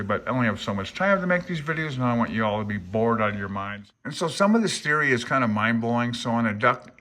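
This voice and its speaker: A man's voice: medium pitch, a bit bright. A man chatting informally as a hobbyist video host, rambling through technical material in a candid, self-deprecating way. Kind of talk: casual rambling talk reviewing an old handbook.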